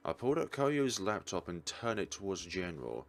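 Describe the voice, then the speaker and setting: Deep-voiced man narrating a visual novel. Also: very animated, normal speed. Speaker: let's player reading aloud. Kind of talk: dramatic read-aloud narration of a visual novel.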